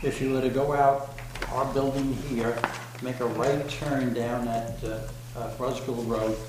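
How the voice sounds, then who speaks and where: Loud-voiced man addressing a town board. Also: medium pitch, steady tone, measured pace. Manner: loud, unamplified voice. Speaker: historical society member presenting to the board. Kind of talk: public presentation at a meeting. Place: town hall meeting room. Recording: echoey room with background noise.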